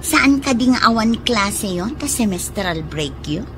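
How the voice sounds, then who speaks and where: A woman's voice: medium pitch, animated, a little slow, music in the background, close to an everyday voice. An actress playing an obliging but scheming ferry worker woman in a radio drama. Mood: friendly, obliging.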